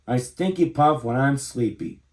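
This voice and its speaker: A man's voice: mid-range voice, measured pace, close to an everyday voice. A man voicing a little apologetic skunk boy in a children's storybook. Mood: sleepy, sorry.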